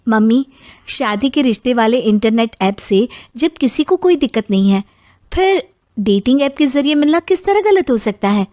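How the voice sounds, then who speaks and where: Woman acting a high-voiced, patient young daughter-in-law. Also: lively intonation, moderate pace, darkish tone, no room sound, studio quality, natural voice. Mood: reasoning.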